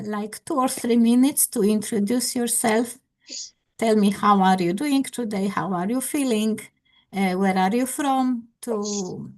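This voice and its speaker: A woman teacher leads an online English conversation class. Her voice sits a little high, and she talks in a friendly, encouraging way with a few hesitant fillers, heard through a video call. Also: darkish tone.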